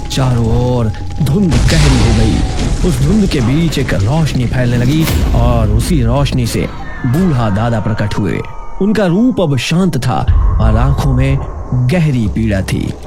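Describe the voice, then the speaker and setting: A man narrating a cartoon story in a slow, dramatic storytelling manner. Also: medium pitch, lively intonation. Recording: loud music underneath.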